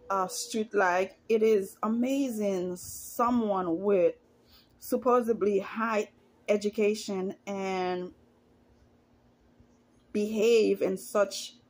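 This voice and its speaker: A woman from Haiti, giving her opinions on reality TV in a casual, chatty vlog and climbing in pitch when she gets indignant. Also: darkish tone. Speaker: YouTuber commenting on reality TV.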